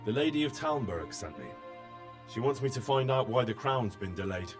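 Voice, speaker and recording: A male actor voices an earnest young horseman in a medieval video game. His pitch sits in the middle and his speech is polite and plain. Music plays faintly behind him. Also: animated, moderate pace, close to an everyday voice. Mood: businesslike. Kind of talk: video game cutscene dialogue.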